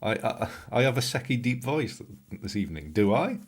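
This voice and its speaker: A man's voice: medium pitch, very animated, normal speed, breathy edge. A middle-aged British man hosting a casual live stream, joking and greeting viewers by name as he edits photos, a bit tired. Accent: British English. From Britain. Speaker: livestream host. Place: home studio room.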